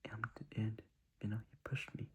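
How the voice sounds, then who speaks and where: A man's voice: normal speed, darkish tone. A young man giving earnest, rambling advice in a whispery voice, circling back and repeating himself.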